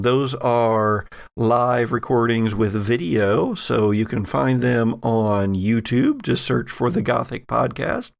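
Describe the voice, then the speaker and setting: A man with a creaky, gravelly voice hosts a tabletop game podcast. He takes his time, leaning theatrically into certain words. The sound is dry, with very little room around him. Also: mid-range voice, dry recording. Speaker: podcast host and game master. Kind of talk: hosting and narrating an actual play audio drama.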